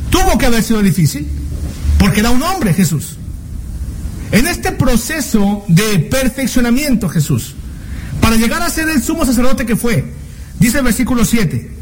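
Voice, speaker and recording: A man's voice: very high-pitched, a little hiss or hum, rough recording. A man from Mexico preaching a sermon, earnest, asking rhetorical questions and drawing out key words for emphasis.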